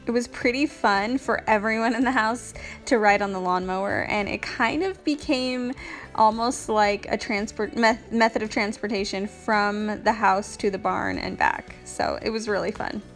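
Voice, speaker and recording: A woman narrating a home vlog recap as voice-over, relaxed and easygoing. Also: medium pitch, normal speed. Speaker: home vlogger. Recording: music playing underneath.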